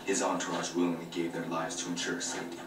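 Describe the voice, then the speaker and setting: An actor playing a stern, dutiful gang lieutenant in a video game, speaking in a measured, formal way. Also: male voice, sits low, monotone, close to an everyday voice. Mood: cold, firm. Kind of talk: video game cutscene acting. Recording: distant, noisy game audio with echo.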